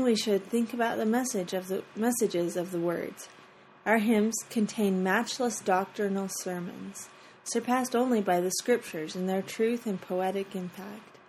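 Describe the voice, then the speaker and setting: A woman's voice: medium pitch, a little mellow, poor audio. Woman chatting, reads a bit then giggles, slight hiss in the background. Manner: friendly, quick to giggle. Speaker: podcast co-host. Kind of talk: casual chat and reading aloud.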